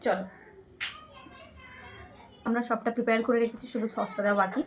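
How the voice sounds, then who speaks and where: A woman's voice: fairly high pitch, steady tone, brisk, slight background noise. A woman chatting casually as a vlogger during an eating challenge. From Bengal. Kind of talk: casual vlog chat.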